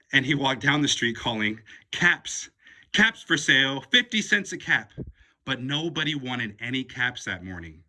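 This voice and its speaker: A man reading a children's picture book aloud in an expressive, deliberate way with dramatic pauses. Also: medium pitch. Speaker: storybook reader.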